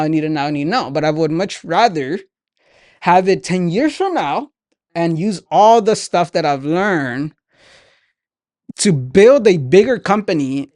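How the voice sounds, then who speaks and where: A man's voice: on the high side, a little mellow, dry recording, clean studio sound. A man in his twenties hosting a motivational talk, speaking earnestly and conversationally, often checking in with "right?". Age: 20s. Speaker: podcast host, entrepreneur. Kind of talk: motivational talk, sharing lessons directly to listeners.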